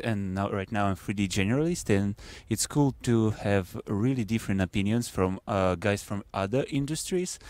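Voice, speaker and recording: A man from Belarus, a 3D artist, answering interview questions calmly in English. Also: lowish voice, dry recording. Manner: calm, measured, non-native English. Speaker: 3D artist, guest.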